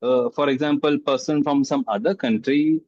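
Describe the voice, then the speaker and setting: A man hosting a casual interview, speaking haltingly and repeating phrases as he asks questions. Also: mid-range voice, darkish tone, no echo. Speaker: interview host. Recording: dull, dry sound.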